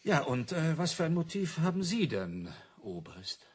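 An actor playing an older, curious and sceptical card-playing gentleman in a crime radio play. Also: fairly high pitch, big pitch swings, measured pace, husky, heavily acted.

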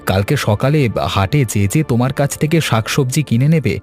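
A man's voice: mid-range voice, normal speed, a little mellow, close to an everyday voice. A man voicing a helpful, kindly young village fisherman in a cartoon story. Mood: friendly. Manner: reassuring, friendly. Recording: music bed behind.

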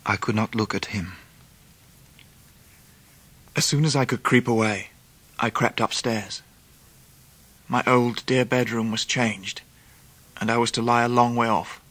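A man playing a grown English narrator recounting his boyhood in a radio drama, in measured literary phrases. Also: mid-range voice, fairly even intonation, a bit bright. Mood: wistful.

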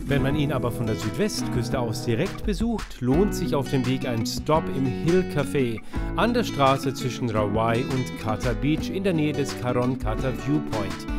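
A man in his forties presenting a travel vlog, talking and narrating as he shows places. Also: medium pitch. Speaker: travel vlogger.

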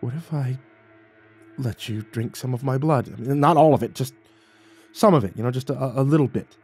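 A man playing an awkward, frightened young man in an audiobook, stammering and croaky as he pleads and haggles. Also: mid-range voice, moderate pace, a little mellow, soft background music. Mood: nervous haggling. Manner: stammering, croaky, whiny desperation. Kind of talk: audiobook character voice.

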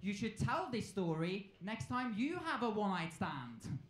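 A man's voice: high-pitched, very animated, normal speed, fairly bright tone. Young Norwegian man doing echoey live stand-up. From Norway. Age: 20s. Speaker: stand-up comedian. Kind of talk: live stand-up comedy routine. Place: on stage in a comedy club. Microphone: handheld stage microphone. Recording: echoey live club sound with noise.

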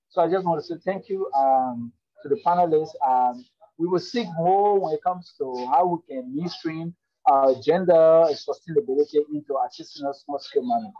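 A man's voice: fairly high pitch, a little fast, no echo. Man on a dull, dry video call. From Liberia. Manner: earnest, many fillers and restarts. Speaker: webinar participant asking a question. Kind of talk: earnest commentary on a video call. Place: public space, indoors.

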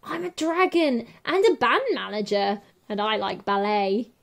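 A woman voicing a gentle, shy, bookish dragon in a storybook, speaking in an earnest, hesitant way. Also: mid-range voice, unhurried, vocal fry. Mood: shy, friendly. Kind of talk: storybook character voice.

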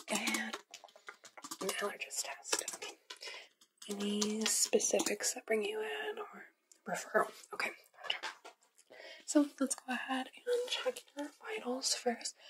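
A young woman whispering in an ASMR medical roleplay, giving hushed, soft instructions step by step as an examiner. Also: on the high side, creaky, noisy background. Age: young adult. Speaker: ASMR performer role-playing a medical examiner. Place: small indoor studio with dark backdrop.

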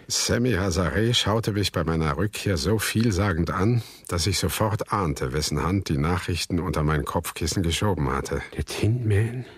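An actor playing a wary, brooding son who narrates a German radio drama in a husky, measured, foreboding voice. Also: male voice, low-pitched, dry recording, heavily acted.

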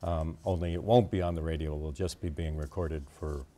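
Older american guy in his 60s, deep voice, casual halting interview chat, kinda wry. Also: steady tone, mellow. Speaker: interview guest, theater performer. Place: TV studio.

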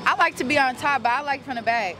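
A woman's voice: high-pitched, quick pace. A young woman answering a street interview at night, talking boldly and candidly in casual slang. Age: young adult. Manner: bold, candid, laid-back slang. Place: city street at night, outdoors. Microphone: handheld mic held by interviewer. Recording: crowd and street noise.

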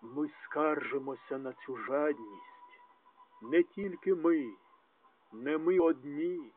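The sound is thin and narrow, as if through a telephone. A man with a slightly high voice recites verse very slowly. His delivery is declamatory and dramatic, rising to loud peaks and dropping away again. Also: slight background noise. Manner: declamatory, with strong rises and drops in loudness. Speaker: translator reading own poetry translations. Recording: tinny, narrow phone-like sound.

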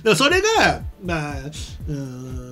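A man's voice: on the high side, huge pitch swings, unhurried. A middle-aged man hosting a show, chatting casually and telling stories with enthusiastic emphasis. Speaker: show host. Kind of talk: casual chat, animated storytelling. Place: indoor small streaming studio. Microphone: desk microphone.

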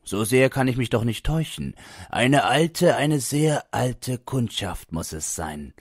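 A kindly coal dealer, played by a male reader, calls out with halting, questioning eagerness. His voice is raised, in the manner of a dramatic audiobook. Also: mid-range voice, animated, breathy edge, voice pushed up, heavily acted. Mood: insistent, moved.

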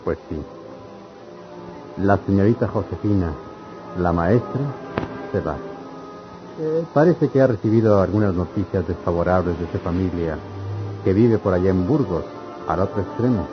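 An actor playing a kindly, concerned village priest in an old-fashioned radio serial, measured and paternal. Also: male voice, low voice, animated, highs cut off, over music. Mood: persuasive.

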